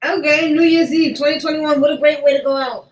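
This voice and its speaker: A woman's voice: sits high, fairly even intonation, a little fast. A woman hosting a casual podcast, talking in loose slang and swearing freely. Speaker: host of a podcast. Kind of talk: casual chat show hosting. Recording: echoey room with background noise.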